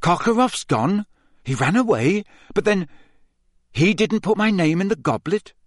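A man's voice: sits high, lively intonation, measured pace, dry recording, studio quality, pitched above the performer's own voice. A man voicing a frightened teenage boy wizard. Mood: alarmed. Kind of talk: audiobook character voice.

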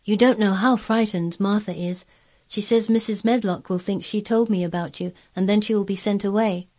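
A woman's voice: medium pitch, steady tone, normal speed, warm tone, voice pushed up, close to an everyday voice. A woman voicing a frank, strong-willed, earnest young girl in storybook dialogue. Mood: frank, thoughtful. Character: frank, strong-willed, curious ten-year-old girl.

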